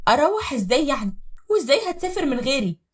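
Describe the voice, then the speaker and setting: A woman putting on a scheming second wife with an Egyptian accent, needling and taunting in a lively, raised voice. Her tone is breathy and husky. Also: fairly high pitch, fast, no room sound, studio quality, pitched above the performer's own voice. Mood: excited, upset. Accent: Egyptian Arabic. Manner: sly, taunting.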